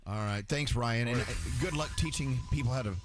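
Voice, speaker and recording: A man hosting a radio morning show, joking playfully and laughing often with guests and co-hosts. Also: medium pitch. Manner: playful, quick to laugh and joke. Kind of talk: casual on-air banter. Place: radio studio. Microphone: studio microphone.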